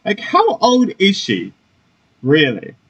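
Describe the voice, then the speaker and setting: A man's voice: high-pitched, wildly swooping pitch, slow pace, fairly bright tone, breathy edge, highs cut off. A man giving casual, offhand gameplay commentary as he plays. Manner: informal, offhand reactions. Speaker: gaming video host.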